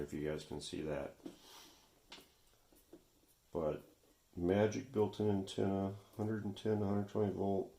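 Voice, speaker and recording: Low-voiced man with gravelly creak. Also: mellow. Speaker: vintage radio repair video host. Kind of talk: casual hands-on workshop demonstration. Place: indoor workshop. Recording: echoey room, faint background noise, clicks.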